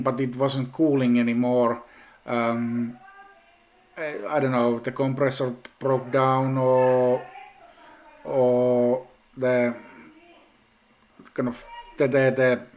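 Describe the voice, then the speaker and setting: Finnish guy rambling slowly about his brewing, lots of ums, mid-pitched voice. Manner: slow, hesitant, many ums and restarts. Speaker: home brewing vlogger. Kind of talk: casual vlog update.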